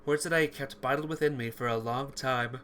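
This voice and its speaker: A man reading a visual novel aloud as a playthrough host, narrating in an expressive, theatrical way. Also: medium pitch, a little fast, slight background noise. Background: music.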